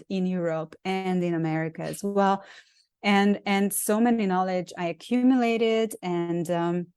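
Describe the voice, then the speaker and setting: A woman in her forties speaks English with a Hungarian accent, her voice lowish for a woman. She talks thoughtfully, pausing with ums and restarting phrases. The sound is dry and close. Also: a little mellow, clear, resonant tone, no echo. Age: 40s. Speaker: guest, actress and acting coach. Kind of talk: casual interview chat.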